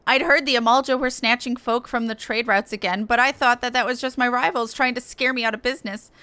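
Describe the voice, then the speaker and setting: A woman's voice: a little high, steady tone, fairly quick, bright, forceful tone, clear, resonant tone, dry recording. A woman voicing a rattled male traveling merchant in a game, chatty and grumbling. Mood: relieved. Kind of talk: reading game dialogue in character.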